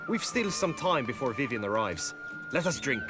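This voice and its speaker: Chivalrous romantic knight for a fantasy game male actor, formal and earnest, lively intonation, music behind. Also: mid-range voice, moderate pace, close to an everyday voice.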